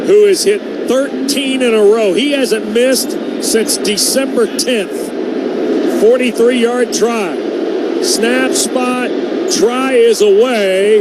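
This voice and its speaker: A high-pitched American man calls live football on the radio. His phrasing is clipped and punchy, the kind used for play-by-play, with loud stadium noise all around him. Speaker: play-by-play announcer.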